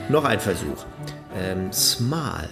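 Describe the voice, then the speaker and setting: An actor playing an eager, pedantic language tutor in a radio drama, with an insistent, didactic manner. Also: male voice, sits low, big pitch swings, drawn-out words, gravelly, music in the background, full character voice.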